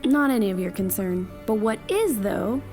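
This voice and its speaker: A woman voicing a brisk, blunt young doctor who speaks matter-of-factly. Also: medium pitch, expressive, a little mellow, soft background music, lightly acted.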